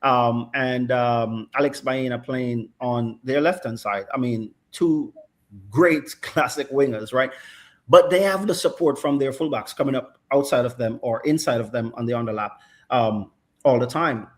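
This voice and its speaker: A man's voice: mid-range voice, unhurried. A middle-aged man analysing football in casual chat, thoughtful and checking in with "right?" often. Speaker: football pundit.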